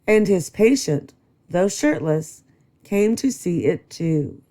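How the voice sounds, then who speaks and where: A woman reading a rhyming children's book aloud as a storyteller, in a steady, rhythmic delivery. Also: fairly low pitch. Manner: slow, rhythmic rhyming read. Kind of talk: children's read-aloud narration.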